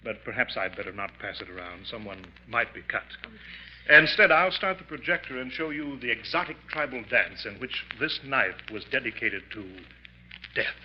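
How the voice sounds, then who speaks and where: An actor playing a theatrical, slyly sinister professor in a formal, florid manner, in 1950s radio mystery style. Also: male voice, medium pitch, lively intonation, moderate pace, close to an everyday voice. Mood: smooth, sly. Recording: muffled, hissy 1950s radio recording.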